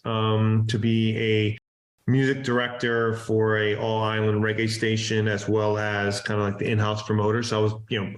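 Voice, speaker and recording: Easygoing man in a roomy space. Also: medium pitch. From Hawaii. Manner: easygoing, rambling with many fillers and restarts. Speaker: festival promoter and guest. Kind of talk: casual interview storytelling. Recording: roomy echo.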